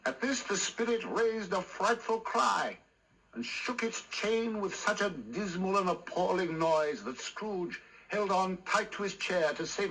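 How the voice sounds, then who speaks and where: A man narrating a classic tale for a radio drama, with measured, theatrical, deliberate phrasing. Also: mid-range voice, fairly bright tone, breathy edge, rough recording.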